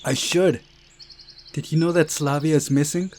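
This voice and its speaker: Guy doing a bossy strict lady from a visual novel, raised pitch, loud and sharp, noisy ambience. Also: steady tone, moderate pace, close to an everyday voice. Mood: stern, agitated. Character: stern, bossy adult woman in charge.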